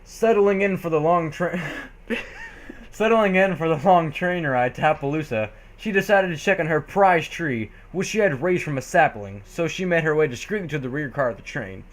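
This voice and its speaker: A man's voice: on the high side, expressive, moderate pace. A man narrating fan fiction as a comic dramatic reading, amused and often stumbling and correcting himself. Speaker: reader.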